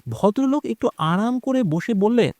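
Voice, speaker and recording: A man's voice: extremely high pitch, animated, moderate pace, darkish tone, no echo. A man reading a scripted, dramatic story as its narrator. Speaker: narrator of an audio horror story.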